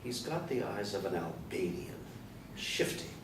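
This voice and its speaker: A man's voice: deep voice, very animated, measured pace. Grumpy old father in an echoing room, man acting. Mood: grumbling. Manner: sardonic, grumbling. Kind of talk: staged play reading. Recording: roomy, distant stage recording with noise.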